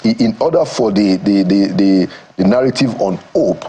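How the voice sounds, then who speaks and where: Nigerian man, husky voice, low-pitched, measured thoughtful delivery, studio interview guest. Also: animated, dark tone. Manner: measured, thoughtful, stops to find words. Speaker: studio guest, former government aide. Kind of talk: morning TV interview.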